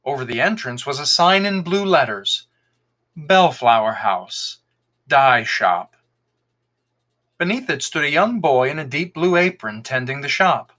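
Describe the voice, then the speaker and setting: A man reading a folktale aloud as an expressive podcast storyteller, in scripted narration. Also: a little high, normal speed, few pauses.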